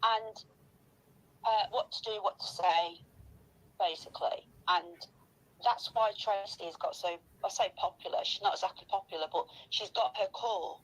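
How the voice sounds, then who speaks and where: A woman's voice: medium pitch, normal speed, poor audio. A woman chatting casually and gossiping in a rambling, sweary, complaining way.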